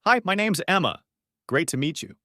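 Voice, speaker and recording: A man teaching beginner English, reading short model sentences clearly and saying each one twice. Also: on the high side, very animated, sharp tone, no echo, clean studio sound. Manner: says each phrase twice, clearly and deliberately.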